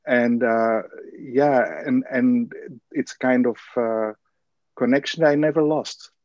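Belgian-born male guest, thoughtful and halting, dull dry lo-fi sound. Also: medium pitch, fairly even intonation, darkish tone, dry recording. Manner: hesitant, many fillers and restarts. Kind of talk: conversational interview answers.

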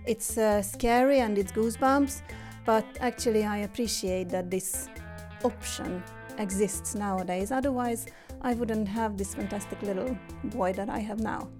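A woman in her forties with a Hungarian accent, a guest telling her story in a relaxed interview, with frequent fillers and restarts. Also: medium pitch, moderate pace, hardly any pauses, over music. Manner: hesitant, many fillers and restarts. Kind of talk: casual interview conversation.

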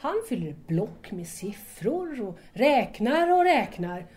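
A woman's voice: a little high, big pitch swings, darkish tone. An actress playing an earnest, polite young woman in an audio drama, speaking in a formal, sincere way. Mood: troubled, earnest.

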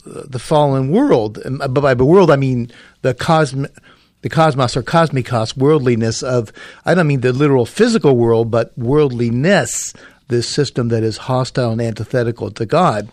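A man's voice: mid-range voice, normal speed, darkish tone, dry recording, clean studio sound. A man speaking as a professor on a call-in radio show, explaining a point in a scholarly way.

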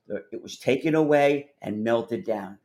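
A man's voice: medium pitch, moderate pace, a little mellow. A man hosting a casual call-in discussion, talking in a blunt, rambling way with plenty of swearing. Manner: profane, blunt, rambling explainer. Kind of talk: casual chat.

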